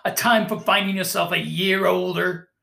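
Reader doing a grumpy stingy old man, slow and gravelly, echoey room. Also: medium pitch, lively intonation, a bit bright, full character voice. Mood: scornful. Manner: curt, scornful. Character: miserly bitter old man.

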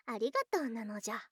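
A voice actress playing an affectionate snake-spirit wife in a Japanese ASMR voice drama, with archaic, coy, excitable speech and open devotion. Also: female voice, on the high side, breathy edge, dry recording, clean studio sound. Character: affectionate female lamia snake-spirit wife, girlish yet old-fashioned.

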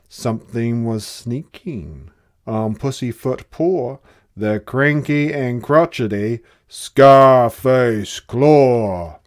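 A storyteller reading a rhyming children's picture book aloud in an expressive, theatrical way. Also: medium pitch. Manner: theatrical, dramatic delivery.

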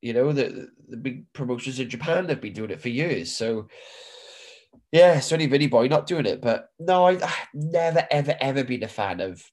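A man's voice: mid-range voice, expressive, normal speed, a little mellow. A middle-aged man from the UK with a stuffy nose from hay fever, co-hosting a podcast in casual, chatty talk that is peppered with swearing. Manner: chatty, swears casually, says "bro" and "mate". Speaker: podcast co-host. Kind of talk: casual chat.

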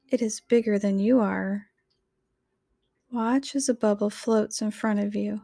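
Female narrator for guided relaxation: gentle and soothing, even-pitched, unhurried, measured scripted read. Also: vocal fry, no room sound. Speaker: meditation guide.

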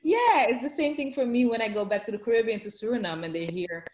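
A woman's voice: on the high side, animated, fast, darkish tone, echoey room. A woman with a Dutch accent, chatting casually in an interview with lots of fillers and restarts. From Netherlands.

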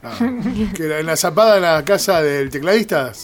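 An Argentine man hosting a casual radio interview, repeating words haltingly as he forms his questions. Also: a little high. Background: music.

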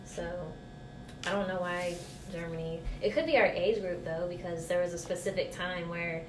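A woman in her thirties being interviewed, answering in a relaxed, chatty way. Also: lowish voice, moderate pace. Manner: relaxed, chatty, laughs easily. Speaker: interview guest. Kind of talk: casual conversational interview. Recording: roomy with steady hiss.

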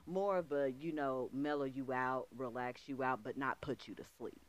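A woman's voice: extremely low pitch, steady tone, moderate pace, dry recording. A laid-back woman in her thirties, a guest on a podcast, talking in slangy, easy phrases and laughing freely. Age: 30s. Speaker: guest, medium and life coach. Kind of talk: casual chat.

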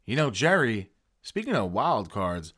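A man's voice: on the low side, big pitch swings, measured pace, fairly bright tone, slightly rough. A man co-hosting a casual podcast, teasing and ribbing the others. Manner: teasing, ribbing his co-hosts. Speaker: podcast co-host. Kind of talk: casual chat.

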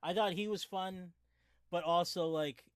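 A man's voice: sits high, steady tone, unhurried, clear, resonant tone, dry recording, clean studio sound. A man co-hosting a movie review podcast, rambling casually with lots of restarts and fillers. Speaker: podcast co-host.

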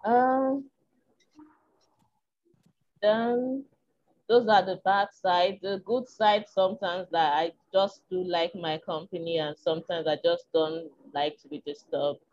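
A Nigerian woman speaks in a small, slightly low voice, candid and reflective, working through her thoughts with soft ums. The recording is a bit muffled and dull at the top end. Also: steady tone, slow. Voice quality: small, tiny voice. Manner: reflective, frank, with filler ums and soft laughs. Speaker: interview guest. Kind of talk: candid conversational chat.

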